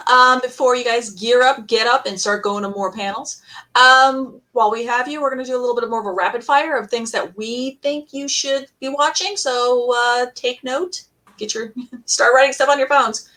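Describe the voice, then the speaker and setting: Chatty, higher-pitched woman hosting a panel. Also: normal speed, sharp tone. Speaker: panel host. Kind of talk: casual fan panel discussion.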